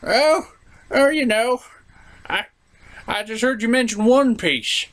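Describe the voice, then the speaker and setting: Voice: mid-range voice, slow, fairly bright tone. A performer voicing a lecherous, cranky old man puppet in a comedy show, rambling and exclamatory. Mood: hesitant.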